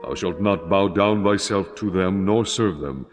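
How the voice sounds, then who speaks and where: A man narrating scripture as a solemn, scripted dramatic reading in measured phrases. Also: low voice. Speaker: audio Bible narrator. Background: music.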